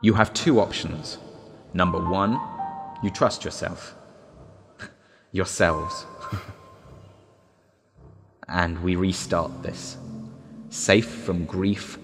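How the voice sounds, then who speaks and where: An actor playing a disembodied recorded guide in a one-act drama, speaking in a measured, deliberate, persuasive way. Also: male voice, low voice, heavily acted. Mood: calm, formal. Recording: long hall reverb, loud music behind.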